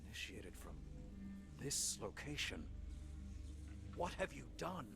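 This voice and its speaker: A man's voice: medium pitch, very animated, slow pace, gravelly, music in the background, heavily acted. A man playing a stern old military lord in a video game, grave and reproachful, bound by honor. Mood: angry, reproachful. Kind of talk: dramatic video game acting.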